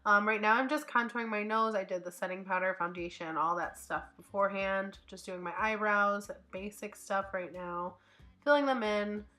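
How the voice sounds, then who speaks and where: Stuffy-nosed woman with a bright voice. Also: medium pitch, moderate pace. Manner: chatty, upbeat, thinks out loud with fillers. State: stuffy nose from a cold. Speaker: beauty vlogger. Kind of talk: casual makeup tutorial voice-over. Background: faint background music.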